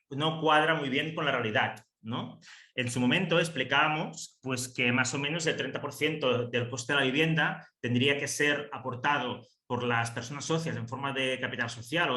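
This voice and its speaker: A man's voice: medium pitch, pushed and bright, roomy sound. A man whose first language is Catalan, giving an online seminar talk in a hesitant way, with many fillers and restarts. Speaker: seminar speaker on housing cooperatives.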